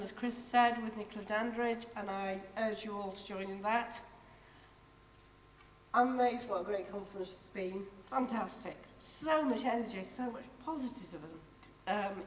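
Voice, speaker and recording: A woman giving an effusive, grateful closing speech as outgoing chair, her voice going hoarse. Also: medium pitch, moderate pace, slight background noise. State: losing her voice. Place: large conference hall. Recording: distant mic in a reverberant hall.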